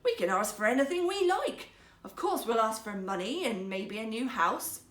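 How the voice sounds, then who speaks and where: A woman's voice: a little high, animated, moderate pace, a bit bright. A woman voicing a poor woodcutter husband in a fairytale, earnest, emphatic, teasing and easily riled. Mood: pleased, measured. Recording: roomy, echoing sound.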